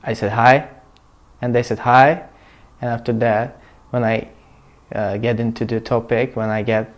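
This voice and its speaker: A man in his twenties answering interview questions haltingly, searching for words. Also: medium pitch, little pitch movement. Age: 20s.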